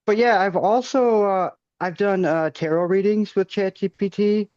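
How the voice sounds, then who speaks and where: 40-something guy, kinda high voice, hesitant with lots of ums, dull dry call audio. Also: moderate pace, darkish tone, clear, resonant tone, no room sound. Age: 40s. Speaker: podcast guest. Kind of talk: casual interview chat.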